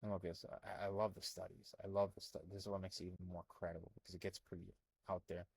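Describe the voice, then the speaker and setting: Husky, rambling young man from Albania. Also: sits low, fast. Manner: rambling, animated, many fillers and restarts. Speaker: health coach. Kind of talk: casual commentary reading from a screen.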